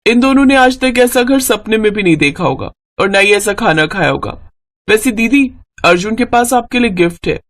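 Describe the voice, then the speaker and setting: A woman's voice: medium pitch, lively intonation, normal speed, voice pushed up, lightly acted. A woman voicing a haughty young wife who scolds and complains in a moral-story cartoon. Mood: condescending. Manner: sharp, condescending, complaining.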